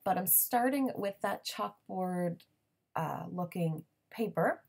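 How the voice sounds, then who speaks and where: A woman in her thirties hosting a crafting channel, chatting casually in a voiceover with a raspy morning voice, full of ums and little laughs. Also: on the low side, expressive, takes it slowly, a little mellow.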